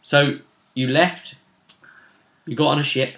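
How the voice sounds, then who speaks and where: A man's voice: fairly high pitch, fairly even intonation, takes it slowly, fairly bright tone. A man acting as game master and host, casually recapping a role-playing session in a storytelling way that teases suspense.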